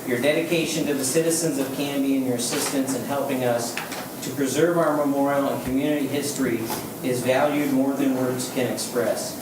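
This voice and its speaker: A man, a veterans memorial volunteer, reading a thank-you letter aloud at a city council meeting in a measured, unhurried way. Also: medium pitch, fairly even intonation. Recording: echoey chamber, distant mic, background noise.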